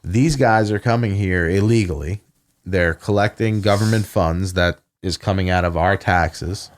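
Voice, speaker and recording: A man's voice: low voice, moderate pace. A man in casual podcast chat, ranting and swearing heavily. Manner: profane, ranting. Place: home podcast studio.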